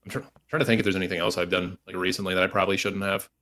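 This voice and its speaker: Fast-talking young man, bright rambling. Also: low-pitched, little pitch movement, a little hiss or hum. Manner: rambling, lots of likes and dudes, self-mocking. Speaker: podcast co-host. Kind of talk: casual storytelling chat.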